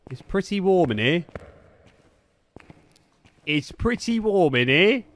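A man's voice: sits high, big pitch swings, slow pace, fairly bright tone. Chatty young man with a Somerset accent. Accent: Somerset English. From Somerset, England. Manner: chatty, calls out to a ghost. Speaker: streamer. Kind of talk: casual gaming commentary. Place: small room at a desk. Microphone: studio mic on a boom arm.

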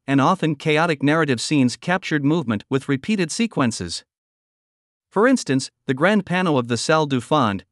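Man reading a measured documentary narration. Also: a little high, no echo, clean studio sound.

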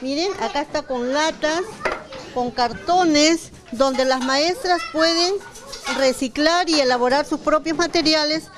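Lady showing off the resource room, high voice, steady explaining. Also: measured pace. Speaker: educational resource coordinator. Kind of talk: guided tour explanation.

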